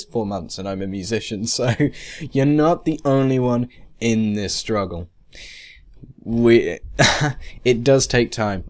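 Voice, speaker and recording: A man narrating a software tutorial casually, in a rambling, hesitant, self-deprecating way. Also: fairly low pitch. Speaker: tutorial presenter. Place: indoors, screen-recording voice-over.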